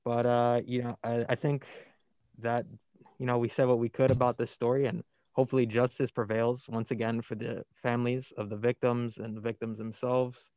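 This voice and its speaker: Young male host, college radio, playful news reader, middle pitch, dry booth sound. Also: little pitch movement, normal speed, darkish tone, clear, resonant tone, no room sound, rough recording. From New Jersey. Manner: upbeat, playful, reads stories aloud. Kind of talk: casual news chat.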